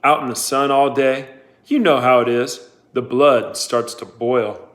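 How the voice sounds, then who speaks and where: A man reading aloud, voicing a teenage boy who calmly talks his way out of trouble. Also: medium pitch, unhurried, lightly acted. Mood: smoothing over. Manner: soothing and persuasive.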